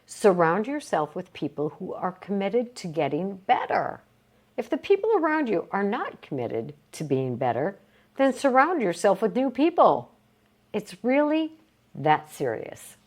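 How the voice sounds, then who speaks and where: A woman reading a motivational self-help book aloud, with emphatic, deliberate phrasing and short pauses. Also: medium pitch, big pitch swings. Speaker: reader of a book read aloud.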